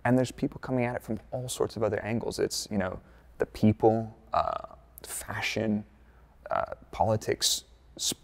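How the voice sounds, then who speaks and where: Gravelly laid-back American man. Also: on the low side. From United States, Dallas. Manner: laid-back, chatty, lots of fillers and jokey asides. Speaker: F1 content creator, former performance engineer. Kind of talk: casual interview answers.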